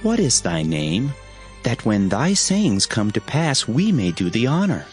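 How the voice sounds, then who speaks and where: An actor playing an earnest, anxious and humble Israelite husband in a dramatized Bible reading, reverent and beseeching. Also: male voice, medium pitch, wildly swooping pitch, a little slow, faint music behind. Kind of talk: dramatized Bible audio acting.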